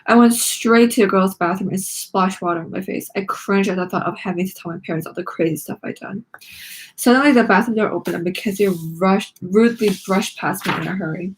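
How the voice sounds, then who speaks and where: Husky-edged woman reading a storybook aloud. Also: mid-range voice, fairly quick, rough recording. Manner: dramatic, acting out the lines. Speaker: read-along narrator of a children's book.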